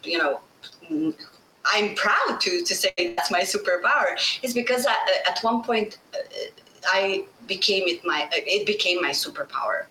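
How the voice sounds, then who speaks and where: Middle-aged woman, Bosnian first language, animated storyteller, bright voice, echoey room. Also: mid-range voice, normal speed, a little hiss or hum. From Slovenia. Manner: animated, laughing, acts out quoted voices. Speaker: guest. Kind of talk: candid storytelling interview.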